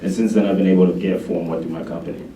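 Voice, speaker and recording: A man's voice: deep voice, steady tone, racing, mellow, slight background noise. An eighteen-year-old man introducing himself to an audience as a young entrepreneur. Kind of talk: public self-introduction. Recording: echoey room, distant mic.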